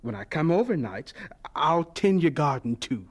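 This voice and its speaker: Earnest, polite young man, animated delivery. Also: a little high, moderate pace. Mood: eager, frank. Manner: deferential, frank, exclamatory. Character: earnest, polite young male cook with hidden dreams. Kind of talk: radio drama acting.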